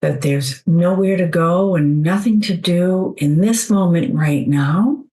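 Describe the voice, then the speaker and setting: Slow, low-voiced woman guiding meditation. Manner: soothing, unhurried, gentle instruction with long pauses. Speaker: meditation guide. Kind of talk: guided meditation.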